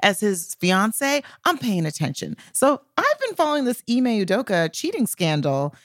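A woman's voice: medium pitch, big pitch swings, moderate pace, no echo, studio quality. A woman co-hosting a podcast in a casual, slangy, playfully emphatic chat style. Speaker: podcast co-host and comedy writer.

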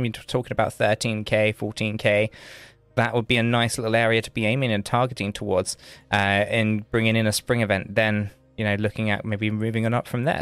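Brief: lowish male analyst, rambling casual chart talk, dry sound. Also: a bit bright, smooth, no echo. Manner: loose, rambling, many fillers and restarts. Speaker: co-host, market analyst. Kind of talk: casual market analysis on a livestream.

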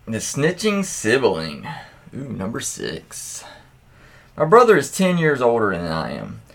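Slow-paced man reading stories casually. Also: mid-range voice, wildly swooping pitch, gravelly, fairly bright tone. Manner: crude, swearing, candid reactions. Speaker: host reading stories aloud with commentary. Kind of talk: casual read-aloud with reaction asides.

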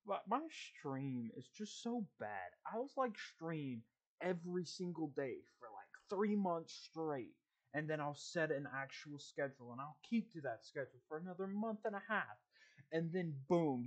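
A man's voice: a little high, lively intonation, a little slow, low-quality recording. A man hosting a podcast in casual chat, telling rambling stories with plenty of swearing. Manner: loose, profane, rambling storytelling. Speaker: podcast host.

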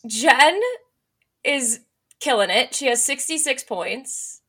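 Bright-voiced young woman hosting a podcast. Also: high voice, expressive, slow, breathy edge. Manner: chatty, self-mocking, upbeat. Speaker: podcast host. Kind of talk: casual sports podcast chat.

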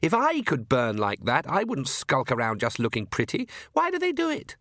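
Man doing a whiny, high-pitched vampire girl. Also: wildly swooping pitch, moderate pace, dry recording, clean studio sound. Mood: vexed. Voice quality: sharp, whiny. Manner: complaining, vexed. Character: petulant young vampire daughter, vexed chronic complainer.

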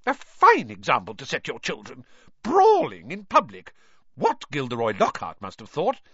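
A man's voice: mid-range voice, huge pitch swings, moderate pace, bright, forceful tone, breathy edge, no echo, pitched above the performer's own voice, full character voice. A man reading an audiobook, voicing a furious middle-aged mother who scolds shrilly. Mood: scolding. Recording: dull lo-fi dry recording.

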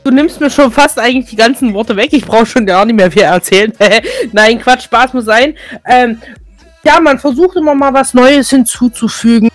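Eine Frau in ihren Zwanzigern aus Deutschland, Co-Moderatorin eines Podcasts, plaudert entspannt und neckt gern. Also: female voice, a little high, normal speed, no room sound, faint music behind. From Germany. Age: 20s. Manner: relaxed, teasing. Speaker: podcast co-host. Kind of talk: casual chat.

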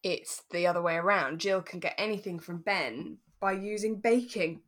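A British woman co-hosting a relaxed chat podcast, giggly and self-mocking as she tells things in an animated way. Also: lowish voice, normal speed. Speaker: podcast co-host. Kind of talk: relaxed chat about a radio drama.

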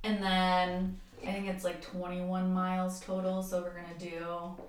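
A woman's voice: lowish voice, completely flat delivery, unhurried, very echoey, distant mic, rough recording. A young woman co-hosting a podcast in easygoing, giggly casual chat. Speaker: podcast co-host.

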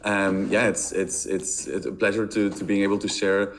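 Hesitant low-voiced man from Belgium. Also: level tone, moderate pace. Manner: hesitant, many repeated words and fillers. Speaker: podcast guest, marketing director. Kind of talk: casual interview answers.

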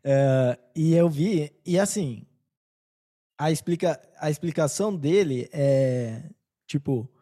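A Brazilian man with a soft-edged, mellow voice pitched a little high. He co-hosts a podcast in casual, slangy talk, often halting as he builds his point. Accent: Brazilian Portuguese. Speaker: podcast co-host. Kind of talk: casual chat.

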